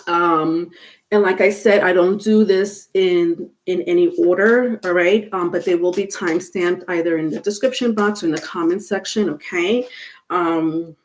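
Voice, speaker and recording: A woman's voice: fairly low pitch, normal speed, rough recording. A woman with a stuffy nose doing a casual live tarot stream, chatting with viewers and full of fillers, without a microphone. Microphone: no microphone, device mic.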